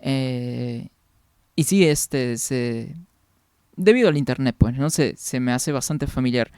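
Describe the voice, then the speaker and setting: A man's voice: mid-range voice, expressive, slow, darkish tone, smooth, no echo, clean studio sound. A young man from Peru, chatting casually with viewers during a game stream, rambling along and answering their comments.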